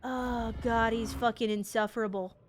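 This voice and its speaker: A young woman streamer giving casual game reaction commentary in an expressive, sarcastic, slangy and sweary way. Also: a little high, normal speed, faint music behind. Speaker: VTuber streamer.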